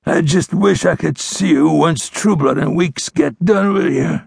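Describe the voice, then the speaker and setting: Western audiobook: wounded outlaw, defiant and sneering, gravelly strain, high-pitched, words dragged out. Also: male voice, no room sound, clean studio sound, heavily acted. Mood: contemptuous, weakening. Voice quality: strained, slurred, groaning. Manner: drawn-out, sneering, contemptuous. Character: dying wounded Old West outlaw, bitter and defiant. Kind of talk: western audiobook character acting.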